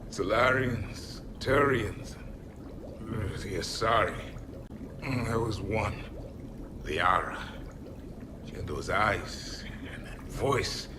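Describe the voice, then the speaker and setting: An actor playing a haughty ancient alien warrior in a video game, groggy and halting, scornful of lesser species. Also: male voice, lowish voice, lively intonation, background noise. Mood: disgusted.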